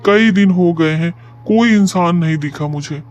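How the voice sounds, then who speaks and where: A man playing a solemn wandering holy man in a cartoon moral story, speaking in a measured, fatherly way. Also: high voice, lo-fi sound, a little hiss or hum, close to an everyday voice. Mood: weary, pleading.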